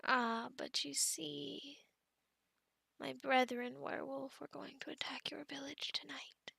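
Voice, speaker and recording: A woman playing a possessive, lovestruck female werewolf in a whispered ASMR roleplay, slow and coaxing, soothing yet menacing. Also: high voice, flat delivery, sharp tone, no room sound, full character voice. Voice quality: breathy whisper with creaky edges.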